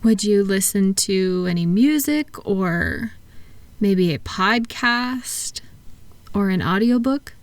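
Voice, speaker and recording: Soft-spoken, soothing woman in ASMR roleplay. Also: medium pitch, animated, no room sound. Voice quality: soft, gentle, breathy at times. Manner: soothing, reassuring, unhurried, asking gentle questions. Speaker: audio roleplay performer playing a thought-calming practitioner.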